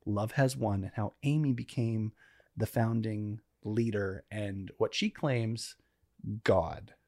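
A man's voice: on the low side, takes it slowly, darkish tone, dry recording, clean studio sound. A young man co-hosting a true crime podcast, chatting casually in an easygoing, joking way. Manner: easygoing, joking, leads the story. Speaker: podcast co-host. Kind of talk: casual chat.

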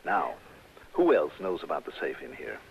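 An actor with an American accent playing a confident, courteous insurance investigator who narrates a 1960s radio detective drama in a measured, probing way. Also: male voice, rumbling low, huge pitch swings, gravelly, slight background noise, heavily acted. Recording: dull, lo-fi old radio recording.

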